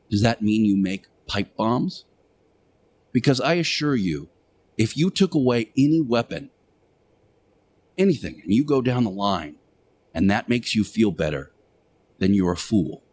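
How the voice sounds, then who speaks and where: A man delivering a sermon-like radio monologue as a show host, speaking emphatically and preachily with deliberate rhetorical repetition. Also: fairly low pitch, darkish tone, husky.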